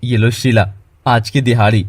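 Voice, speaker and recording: A man playing a poor, hardworking, devoted husband in an animated moral story, earnest and pleading. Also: mid-range voice, measured pace, noisy background, close to an everyday voice. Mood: weary, meek.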